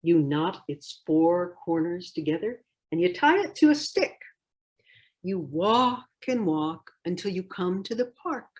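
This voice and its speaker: A woman reading a picture book aloud expressively, with deliberate, phrase-by-phrase delivery. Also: on the low side, big pitch swings, husky. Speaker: storybook reader.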